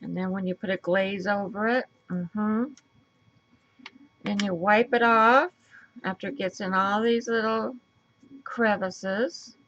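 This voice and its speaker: A woman with a raspy voice and a stuffy nose, chatting casually as she works through a crafting tutorial and thinks aloud. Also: medium pitch, steady tone, slow pace, pauses often, a bit bright, highs cut off. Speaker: craft video host.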